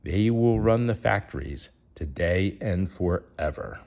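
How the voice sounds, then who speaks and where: A man's voice: low-pitched, expressive, unhurried, vocal fry, darkish tone. A man reading a rhyming children's picture book aloud as its narrator.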